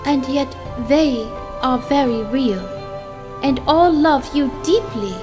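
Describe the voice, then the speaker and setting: Gentle spirit mother, played by a woman, high register, serene and formal, audio drama with music behind. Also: expressive, takes it slowly. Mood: serene, tender. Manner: formal, soothing, solemn phrasing. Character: gentle, serene spirit mother comforting her grown son. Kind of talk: fan comic audio drama acting.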